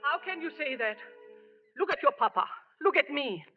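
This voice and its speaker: A woman's voice: high-pitched, lively intonation, moderate pace, faint music behind. A woman playing a stern, hard-working immigrant mother in a 1940s radio drama, scolding and pleading with emphasis. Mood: pleading, insistent. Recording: dull old radio broadcast recording.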